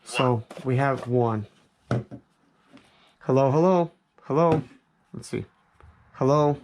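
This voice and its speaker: Man, casual reviewer, rambling thinking-aloud delivery, mid pitch, clearly noisy recording with clicks. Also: takes it slowly, a little mellow. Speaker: product reviewer. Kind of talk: casual hands-on gadget test commentary.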